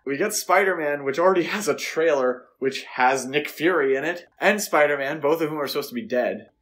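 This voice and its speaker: A man's voice: on the high side, a little fast, fairly bright tone. A man co-hosting a podcast, chatting casually and speculatively about films. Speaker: podcast co-host. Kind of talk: casual film discussion podcast.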